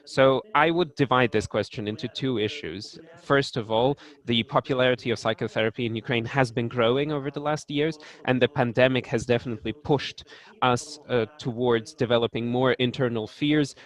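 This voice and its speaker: A man with a mid-range voice talks through an online panel discussion. His delivery is halting, full of restarts and small fillers as he works out each thought. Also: mid-range voice. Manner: hesitant, many restarts and fillers.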